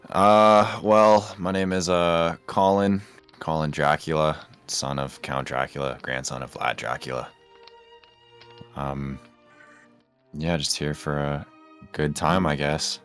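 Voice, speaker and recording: A man's voice: a bass voice, fairly even intonation, slow pace, fairly bright tone, soft background music, heavily acted. A man playing an awkward, reluctant young vampire heir who mumbles hesitantly in a game roleplay.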